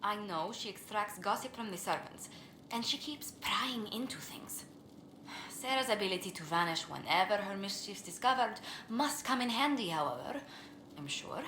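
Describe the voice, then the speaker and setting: A woman playing a courteous, tactful court diplomat in a fantasy game, speaking in a polite, measured, formal way. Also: medium pitch, lively intonation, sharp tone. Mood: dry. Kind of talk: scripted game dialogue. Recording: echoey room, clearly noisy game capture.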